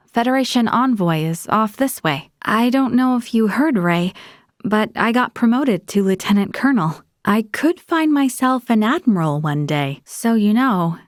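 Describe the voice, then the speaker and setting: Earnest young space officer, close and dry. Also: female voice, on the high side, dry recording. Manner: composed, articulate, conscientious. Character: earnest, dutiful young female space-federation military officer. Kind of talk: video game voice acting.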